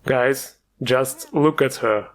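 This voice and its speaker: A man's voice: mid-range voice, takes it slowly, background noise. A man hosting a video game mod show, giving informal, enthusiastic commentary with a joking streak. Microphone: voice-over recorded over gameplay music.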